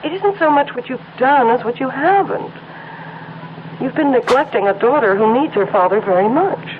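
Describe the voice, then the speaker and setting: A tinny, faintly noisy old radio recording carries an American wife and mother. She is tense and reproachful, with a sarcastic edge, and pitched fairly high. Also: expressive, moderate pace. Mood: worried. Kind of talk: old-time radio drama acting. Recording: thin phone-like old radio broadcast, faint noise.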